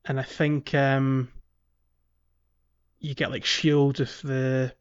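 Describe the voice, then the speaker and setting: A man co-hosting a video game podcast, chatting casually and explaining things in a halting way. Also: medium pitch, flat delivery, smooth, dull audio. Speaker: podcast co-host.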